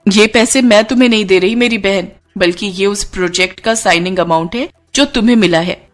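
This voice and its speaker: A woman's voice: mid-range voice, brisk, faint music behind, natural voice. A woman voicing a glamorous, grateful young actress in a cartoon story, effusive and affectionately bossy, like a big sister. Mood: confident, affectionate. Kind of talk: Hindi cartoon story dialogue.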